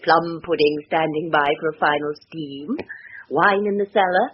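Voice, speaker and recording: An actress playing a genteel, romantic English wife with a British accent in an old-time radio drama. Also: female voice, deep voice, moderate pace, lightly acted. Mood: merry, fond. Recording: muffled, hissy old radio recording.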